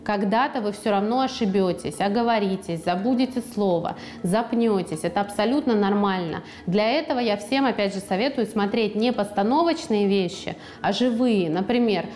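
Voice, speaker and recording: A woman guest on a studio podcast, talking in a lively, chatty way and now and then acting out what people said to her. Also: mid-range voice, moderate pace, hardly any pauses. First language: Russian. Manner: lively, chatty, acts out quoted speech. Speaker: guest author. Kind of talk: podcast conversation.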